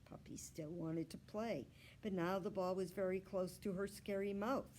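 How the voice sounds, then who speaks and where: A woman storyteller reading a children's book aloud with expressive, deliberate narration. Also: mid-range voice, slight background noise.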